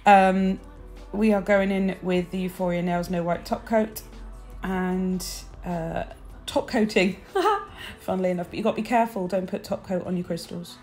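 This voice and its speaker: A chatty woman talks in a lowish, slightly croaky voice, bubbly and full of little asides as she walks through her nail art. Soft music plays faintly behind her. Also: normal speed. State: croaky, early-morning voice. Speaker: nail technician. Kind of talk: nail art tutorial chat.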